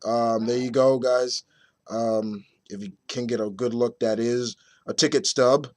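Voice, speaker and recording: A man in his thirties with a slightly raspy, under-the-weather voice, giving a casual, halting movie review to viewers and repeating phrases for emphasis. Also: medium pitch, steady tone. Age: 30s. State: mildly under the weather with a nagging cough.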